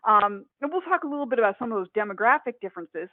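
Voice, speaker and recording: A woman in her forties from Northern Ohio giving a conference webinar talk, slightly hoarse, chatty with many fillers and restarts. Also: on the high side, expressive, racing, no room sound. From Northern Ohio, USA. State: hoarse, coughing. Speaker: conference presenter. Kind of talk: webinar presentation. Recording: dry, phone-like audio.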